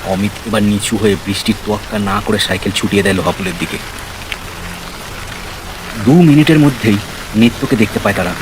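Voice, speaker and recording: A man with a low voice narrates a scripted horror tale dramatically and with suspense. Loud rain and storm noise runs behind his narration. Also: a little fast, pauses often. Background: rain, storm effects.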